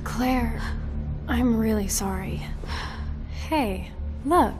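A sympathetic young female game sidekick. Her fairly high voice is gentle and very unhurried, with music behind. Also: expressive. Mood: concerned. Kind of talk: dramatic video game acting.

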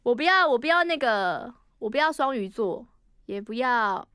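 A woman's voice: fairly high pitch, expressive, moderate pace, pushed and bright, smooth, no room sound, studio quality. A woman with a Taiwanese Mandarin accent doing playful improvised radio banter, giggly and flustered, often repeating words. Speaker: radio comedy performer.

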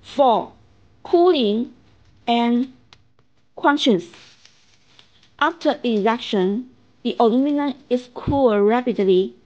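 A middle-aged woman with a Chinese accent reading a scripted promotional narration in a halting way, as a factory representative. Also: a little high, lively intonation, a little mellow, lo-fi sound. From China. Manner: halting reading, stumbling over and repeating phrases. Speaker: factory representative presenting products.

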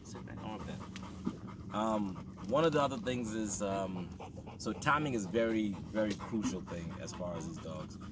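A man with a lowish voice conducts a loose, rambling interview aboard an open motorboat. He is picked up from a distance by the mic. Wind, water and engine noise roar loudly behind him. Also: lively intonation, measured pace. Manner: loose, rambling, quotes dogs and callers. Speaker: interviewer and dog breeder. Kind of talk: casual interview chat. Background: boat motor and water.

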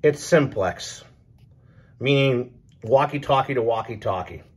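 A man giving a casual, unscripted explainer as a hobbyist presenter, halting, repeating himself and trailing off. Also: mid-range voice. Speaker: presenter of a radio hobby video.

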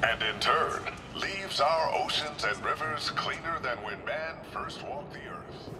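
A man's voice: fairly high pitch, expressive, a little slow. An actor playing a confident male corporate narrator, reading scripted promotional copy in a video game. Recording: distant playback under loud music.

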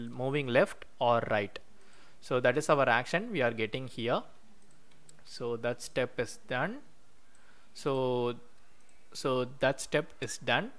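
Male tutorial host with a smooth, clear mid-pitched voice, narrating code at a desk with typing behind. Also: fairly bright tone. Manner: explains step by step while typing code, many "uh" pauses. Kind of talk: screen-recorded coding walkthrough. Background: keyboard typing.

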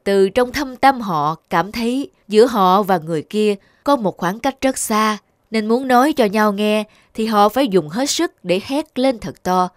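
A woman voicing a large, patient god of love in a cartoon, a wise mentor who instructs in measured words. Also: mid-range voice, lively intonation, dry recording, clean studio sound, lightly acted. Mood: solemn explaining. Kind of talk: cartoon dubbing.

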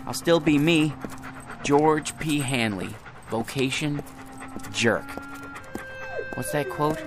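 A man's voice: a little high, slow, over music. A man acting in a radio drama as a meek fellow who fancies himself an earnest, speechifying President. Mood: musing, self-pitying. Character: meek man daydreaming he is a folksy, harried President.

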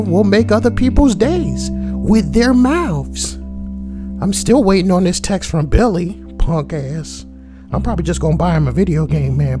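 A man voicing a brash, foul-mouthed schoolboy, reading posts for comedy and adding slangy, mocking asides. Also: high voice. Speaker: host reading forum posts. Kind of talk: comedic reading with crude commentary.

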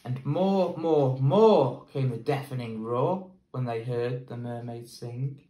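Young English male teacher, slow storybook reading, roomy echo on the camera sound. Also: mid-range voice, warm tone, highs cut off. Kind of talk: picture book read-aloud. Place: plain indoor room.

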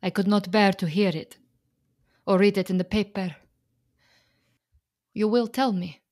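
Actress as a grieving Slovak immigrant wife. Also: female voice, mid-range voice, darkish tone, close to an everyday voice. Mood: subdued, sorrowful. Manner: formal, halting non-native phrasing. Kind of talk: dramatic radio play acting.

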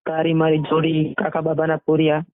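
High-pitched man on a phone. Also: monotone, fairly quick, no room sound. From Gujarat, India. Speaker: singer and band owner being interviewed. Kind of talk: casual phone interview. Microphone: phone call. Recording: tinny narrowband phone line.

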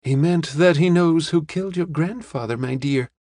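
Man voicing a shrewd old lady, pitched up. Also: normal speed, darkish tone, no echo, clean studio sound, natural voice. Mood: knowing. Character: shrewd, kindly, plain-spoken old lady.

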